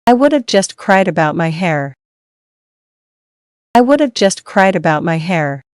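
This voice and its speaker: A woman reading English dialogue lines slowly and clearly for learners, repeating each line. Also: on the low side, big pitch swings, dry recording, studio quality. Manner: slow, clear, each line read twice.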